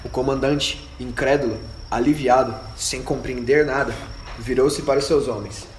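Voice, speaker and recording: A man's voice: mid-range voice, steady tone, moderate pace. A man reading scripted narration for an amateur radio drama. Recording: echoey room with faint noise.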